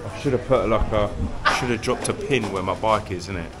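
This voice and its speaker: A man's voice: fairly low pitch, fairly quick, a loud crowd. A man in his thirties with a London accent, chatting casually and swearing as he walks through a night market, filming himself on a handheld camera. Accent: London English. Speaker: travel vlogger. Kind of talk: casual vlog chat. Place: busy night market street outdoors. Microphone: action camera held at arm's length.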